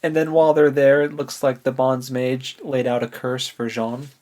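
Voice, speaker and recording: A man's voice: medium pitch, little pitch movement, moderate pace, a little mellow. A man co-hosting a book podcast, recapping in a casual, conversational way.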